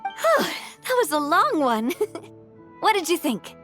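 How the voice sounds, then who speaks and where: A woman's voice: very high voice, sing-song, takes it slowly, fairly bright tone, soft background music, heavily acted. A woman voicing a poised young actress in a video game, theatrical and earnest. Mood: relieved, cheerful. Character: poised young actress delivering solemn dramatic film lines. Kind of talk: video game character acting.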